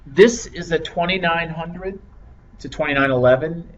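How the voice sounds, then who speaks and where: Higher-voiced male instructor, dull noisy recording. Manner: measured, explanatory step-by-step delivery. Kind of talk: software tutorial demonstration. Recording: dull lo-fi microphone with clear noise.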